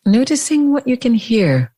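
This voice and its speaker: A woman leading a guided meditation as a teacher, speaking in deliberate phrases with long pauses. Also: on the high side, big pitch swings, mellow.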